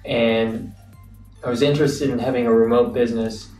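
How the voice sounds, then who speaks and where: A young American man telling his story as an interview guest, relaxed and reflective, with frequent fillers. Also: medium pitch, normal speed, faint music behind. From United States. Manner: relaxed, reflective, many fillers like "you know". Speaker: guest, entrepreneur. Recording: roomy, distant mic, faint ambience.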